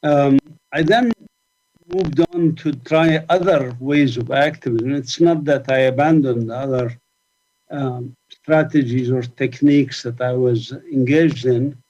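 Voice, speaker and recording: A man's voice: medium pitch, measured pace, a little mellow, muffled recording. A man speaking at a conference about his own activism, reflective, with many fillers and restarts. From Palestine. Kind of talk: conference talk, personal account.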